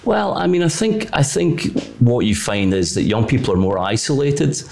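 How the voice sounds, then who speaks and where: A middle-aged Scottish man, a further education college leader, who answers interview questions in a measured, careful, policy-minded way. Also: medium pitch, expressive, creaky, darkish tone, faint music behind. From Greenock, Scotland.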